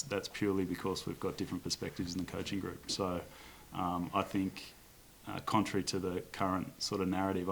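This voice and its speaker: Aussie player, deep monotone, measured and thoughtful. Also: male voice, a little hiss or hum, rough recording. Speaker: rugby player. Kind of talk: press conference answers.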